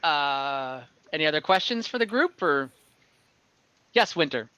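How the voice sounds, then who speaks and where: A man narrating an online tabletop game as game master, in a halting, informal way with chuckles. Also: on the high side, lively intonation, sharp tone, clean tone, no room sound. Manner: halting, chuckling, voices characters casually.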